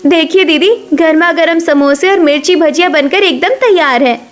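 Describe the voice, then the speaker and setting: A woman voicing a warm, hospitable village housewife in a cartoon family story. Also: very high voice, moderate pace. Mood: cheerful.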